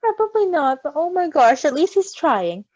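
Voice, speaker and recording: High, animated, mellow woman storyteller. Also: moderate pace, no echo. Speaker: story reader. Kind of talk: animated storytime narration with casual asides. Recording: slightly dull, close dry recording.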